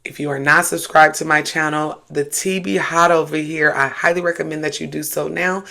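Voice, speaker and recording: A host with a creaky voice and a sassy, slangy, emphatic way of talking, giving casual spiritualist commentary and storytelling. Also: mid-range voice, steady tone, moderate pace, sharp tone. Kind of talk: casual commentary with read-aloud paranormal stories.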